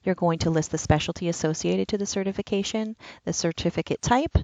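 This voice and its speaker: A woman's voice: lowish voice, fairly quick, vocal fry, darkish tone, no echo, muffled recording. A woman giving a patient, step-by-step walkthrough of an online application form. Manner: step-by-step instructional, plain and patient. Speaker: enrollment trainer. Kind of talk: screen-recorded software walkthrough.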